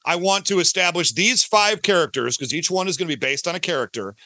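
A man with a high voice speaks as a guest in a casual interview. His tone is bright and forward, and he talks at length, rephrasing as he goes. The sound is dry. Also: fast, no room sound. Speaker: guest, audio drama creator.

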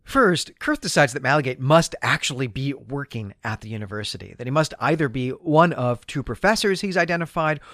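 A man speaks as a podcast host giving a casual, explanatory recap. His mid-pitched voice swings expressively up and down, leaning on key words in a conversational way. The sound is dry, with very little room in it. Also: a bit bright, dry recording.

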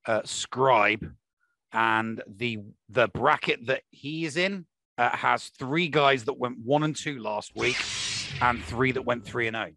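A man's voice: medium pitch, slow, vocal fry, sharp tone, dry recording. A man chatting casually as a panelist on a podcast. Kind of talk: casual chat.

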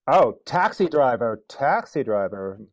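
A man's voice: medium pitch, big pitch swings, unhurried, no room sound. A man hosting a casual interview in a playful, teasing manner, recorded on dull lo-fi audio.

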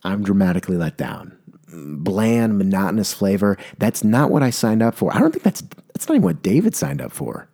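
A young man with a mellow, fairly low voice tells a comic story in a mock-serious, rambling way. He often stops mid-sentence, restarts and corrects himself. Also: very animated, brisk, creaky. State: maybe congested. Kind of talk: comedic monologue.

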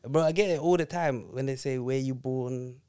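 Laid-back man, smooth voice. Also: mid-range voice, moderate pace, darkish tone. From Zambia. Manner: slangy and laid-back, laughs often. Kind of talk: casual chat.

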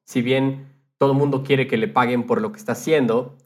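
A man's voice: medium pitch, fairly even intonation, a little fast, a little mellow. A man talking casually as a guest on a podcast about his field. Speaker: podcast guest, audio producer.

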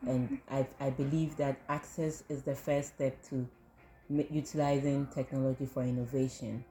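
A woman with an unusually deep, warm voice speaks fluently and earnestly. Faint ambient noise hums behind her. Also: little pitch movement, moderate pace, poor audio. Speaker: student union officer. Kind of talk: interview answers.

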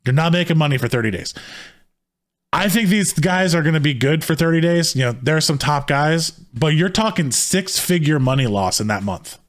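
A man co-hosting a casual podcast, talking in a heated, rambling way with many restarts, his voice slightly hoarse. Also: fairly high pitch, lively intonation, normal speed. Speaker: podcast co-host.

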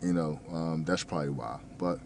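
A very deep, low-pitched male voice, slightly mumbled, from a man in his thirties who grew up in New Jersey. He talks in a loose, rambling way, stumbling over words, leaning on fillers and cursing casually. A faint background hum sits under the voice. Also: normal speed, creaky, a little mellow. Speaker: restaurant manager and fitness vlogger.

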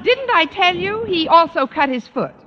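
A droll, quick-witted schoolteacher of middle age in a vintage radio comedy. Her voice is high with widely moving intonation and dry timing, on a muffled, noisy old recording. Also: sing-song. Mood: flustered, improvising. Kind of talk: 1940s-50s radio comedy acting.

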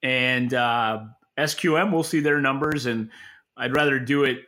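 Bright, forceful man giving market commentary. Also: mid-range voice, measured pace. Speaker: podcast co-host and industry analyst. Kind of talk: unscripted expert market commentary.

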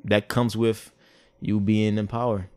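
A man's voice: fairly low pitch, little pitch movement, a little slow, a little mellow, clear, resonant tone, no room sound. A man co-hosting a podcast, talking in a slangy, reflective way with lots of hesitant restarts. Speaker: podcast co-host. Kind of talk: casual podcast chat.

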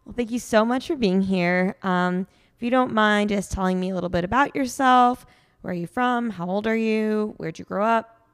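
A woman hosting a podcast interview, hoarse, chatty and upbeat with frequent filler words. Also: mid-range voice, normal speed. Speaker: podcast host.

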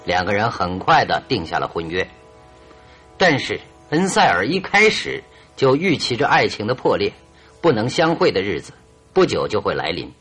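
A shrewd, confident detective in a radio drama, with a lively, swooping delivery that is stern yet teasing. His voice is slightly rough, and he lays out his reasoning with theatrical emphasis over faint music. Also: mid-range voice. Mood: stern, indignant. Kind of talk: Chinese radio drama acting, expository monologue.

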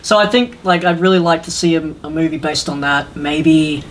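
A man's voice: fairly high pitch, moderate pace, a bit bright, a little hiss or hum. A man chatting casually as a podcast co-host, rambling with enthusiasm and some hesitation. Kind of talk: casual chat about games and films.

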